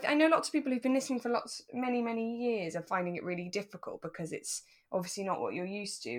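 A British woman chatting casually and enthusiastically as a podcast co-host. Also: mid-range voice.

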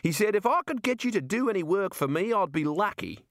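Man playing a high-pitched matey bloke. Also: big pitch swings, fairly quick, fairly bright tone, no echo, clean studio sound, exaggerated voice. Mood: friendly.

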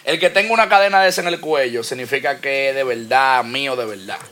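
A man's voice: medium pitch, fast, sharp tone. A man with a Dominican accent, a guest on a podcast, talking in a confident, streetwise way and repeating himself for emphasis. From born in New York, raised in the Dominican Republic. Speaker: guest, record label owner. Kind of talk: casual interview.

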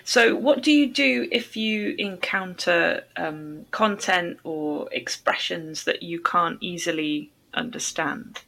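A woman's voice: lowish voice, takes it slowly, gravelly, hardly any pauses, fairly bright tone. A woman hosting a podcast for English learners, asking questions and rephrasing answers in simple, encouraging language. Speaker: podcast host, English teacher. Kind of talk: interviewing, explaining and rephrasing.

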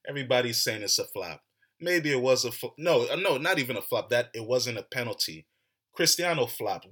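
A young man with an American accent delivers a heated solo soccer rant as a podcast host. His voice is bright and forceful, and his tone turns mocking. He speaks at a middling pitch. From United States. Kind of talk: animated solo soccer commentary.

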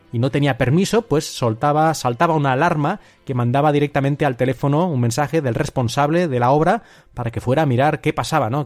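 A man's voice: on the high side, darkish tone. A man from Spain with a Castilian Spanish accent, hosting a solo podcast and telling stories in a conversational way. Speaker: podcast host. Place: at home.

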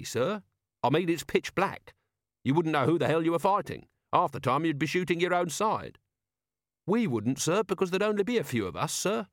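A man's voice: on the high side, dry recording, studio quality, voice pushed up. A man voicing an earnest young soldier who explains things in an eager, deferential, polite way.

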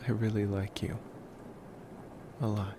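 A man playing a devoted, protective young college vampire in an intimate ASMR roleplay, speaking softly with musing pauses. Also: natural voice. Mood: tender, nervous. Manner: soft, unhurried, musing with long pauses. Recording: noisy, steady background rumble.

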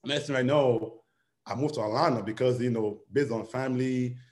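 A man's voice: mid-range voice, fairly even intonation, moderate pace, a little mellow. A young man talking casually as an interview guest, rambling and full of fillers. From Nigeria. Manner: rambling, many "you know" fillers.

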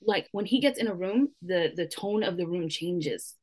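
A woman chatting on a podcast, telling an animated story with a lot of "like" fillers. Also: on the low side, moderate pace. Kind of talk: casual discussion.

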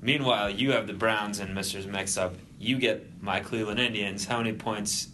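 A man's voice: lowish voice, fairly even intonation, moderate pace, pushed and bright, slight background noise. A young man hosting a casual sports podcast, normally laid back but trying to bring extra energy. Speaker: podcast host.

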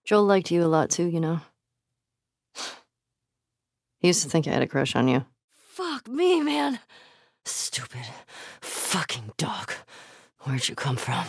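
A woman's voice: mid-range voice, dry recording. Game role: young American female survivor, sardonic, blunt, foul-mouthed, naturalistic delivery, dry studio sound. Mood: relaxed, dry. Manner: blunt, sweary. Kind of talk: naturalistic video game acting.

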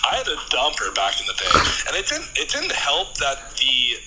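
A man's voice: medium pitch, wildly swooping pitch, moderate pace, crowd noise. A man in his late twenties with an American accent, telling casual comic stories as a podcast guest on a noisy recording. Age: late 20s.

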